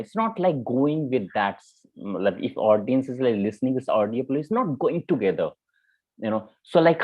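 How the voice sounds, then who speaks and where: A man with an Indian accent giving informal spoken feedback as a mentor, halting and repeating himself, often checking in with "you know". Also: medium pitch. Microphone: video call. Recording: muffled, band-limited online call audio.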